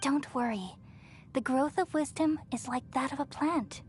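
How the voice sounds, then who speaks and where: Game role: ancient wise female deity, high gentle voice, calm reassurance, music underneath. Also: measured pace. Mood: serene, reassuring. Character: serene, wise female deity, remnant consciousness of a dead ruler. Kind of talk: scripted video game voice acting. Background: background music.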